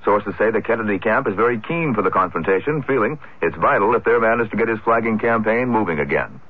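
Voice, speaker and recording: A man's voice: on the low side, expressive, fast, husky. An American man reading a scripted network radio news bulletin as anchor. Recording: muffled archival radio broadcast.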